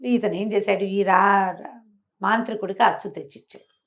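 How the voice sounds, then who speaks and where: A woman's voice: medium pitch, steady tone, normal speed, dull audio. A woman telling a story in a conversational way, posing rhetorical questions as she narrates. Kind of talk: animated oral storytelling in a talk show.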